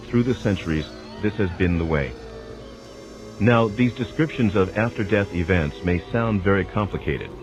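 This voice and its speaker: A man narrates a scripted, dramatized spiritual teaching in a formal, measured, didactic way. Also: deep voice. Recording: voice over prominent music. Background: music.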